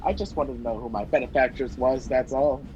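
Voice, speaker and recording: A man playing a polite, quick-witted fugitive wrongly on the run, in a radio play recorded over a video call. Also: medium pitch, close to an everyday voice. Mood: charming, furtive. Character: hunted young man, polite, quick-talking, indignant fugitive. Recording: dull, noisy home video call.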